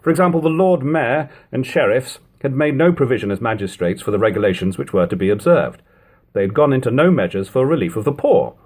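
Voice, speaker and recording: Man reading, lively rise and fall. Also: mid-range voice, brisk. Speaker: audiobook reader. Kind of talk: reading aloud an old historical narrative. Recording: lo-fi amateur home recording.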